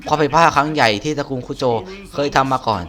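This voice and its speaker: A man voicing a scheming, obsequious male clan heir from a game, reading deferentially and haltingly. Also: on the high side, pushed and bright. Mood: indignant. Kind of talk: reading game dialogue aloud.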